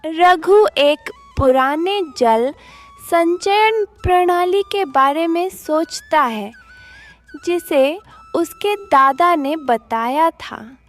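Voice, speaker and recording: A woman's voice: extremely high pitch, lively intonation, extremely slow, soft background music. A woman reading scripted narration for a cartoon moral story as its storyteller.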